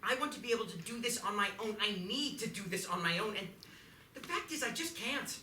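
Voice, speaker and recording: A woman's voice: medium pitch, animated, a little fast, bright, forceful tone, a little hiss or hum. A woman reading the part of an insecure, stubborn middle-aged husband in a staged play reading. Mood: defensive. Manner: stage reading with sudden cracking outbursts. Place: theatre auditorium. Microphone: distant stage pickup. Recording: echoey theatre taped from far off.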